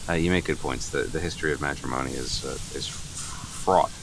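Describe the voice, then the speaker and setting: A man playing a nervous, earnest, apologetic boyfriend in an improvised comedy radio play, halting and stammering as he talks. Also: extremely low pitch, a bit bright. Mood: flustered, apologetic. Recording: faint sizzling barbecue noise behind.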